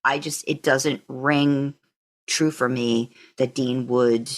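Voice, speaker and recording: Low-pitched woman in casual fan-podcast chat. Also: fairly even intonation, slow. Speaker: podcast co-host.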